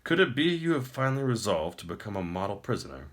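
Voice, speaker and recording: Man playing a warm-voiced, taunting dungeon jailer, very low. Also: sing-song, fast talker. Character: falsely friendly, taunting male dungeon jailer.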